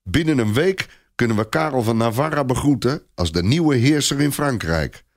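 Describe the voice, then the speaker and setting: A man's voice: medium pitch, expressive, normal speed, pitched above the performer's own voice, close to an everyday voice. A man voicing a proud, reckless nobleman knight in a storybook reading, boastful and confident. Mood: boastful, mocking. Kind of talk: audiobook character dialogue.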